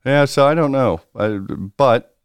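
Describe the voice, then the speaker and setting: A man's voice: medium pitch, slow pace, smooth, no echo. A man co-hosting a podcast, chatting casually and rambling with frequent restarts. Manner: rambling, lots of restarts and fillers. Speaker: podcast co-host. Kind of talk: casual chat about pinball news.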